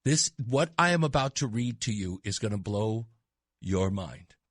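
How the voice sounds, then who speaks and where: A male radio host chatting casually in a studio, excitable, laughing often and breaking into loud bursts. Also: fairly low pitch, a little slow, no room sound. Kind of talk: casual on-air chat. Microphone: studio microphone.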